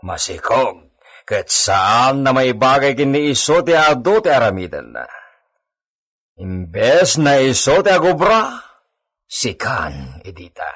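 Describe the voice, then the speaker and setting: A man's voice: mid-range voice, sing-song, slow, fairly bright tone, full character voice. A man with an Ilocano accent playing a stern, curt, formal master of a villa in a radio drama. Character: stern, imperious master of a villa, Señor Esteban.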